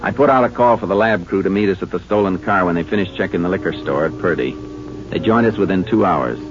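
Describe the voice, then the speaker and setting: Low, laconic Texas Ranger played by a man. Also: fast, music in the background, close to an everyday voice. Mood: businesslike. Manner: laconic, matter-of-fact. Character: steady, businesslike male Texas Ranger investigator and narrator. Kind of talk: 1950s radio crime drama acting. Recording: noisy, dull 1950s radio recording.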